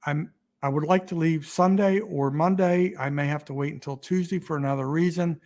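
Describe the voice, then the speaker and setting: A man hosting a casual livestream, rambling and halting with many restarts, his voice getting raspy. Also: a little high, steady tone, darkish tone. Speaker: YouTube channel host.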